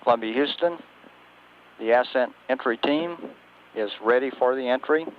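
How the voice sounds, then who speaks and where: A man's voice: mid-range voice, normal speed. An American man working as a mission control communicator, speaking in procedural radio calls over a two-way radio link. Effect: two-way radio transmission. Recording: tinny, noisy 1980s space radio link.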